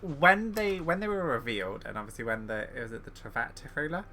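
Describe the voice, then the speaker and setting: A man's voice: medium pitch, expressive, quick pace, sharp tone, a little hiss or hum. A man giving casual reaction commentary as a streamer playing a game.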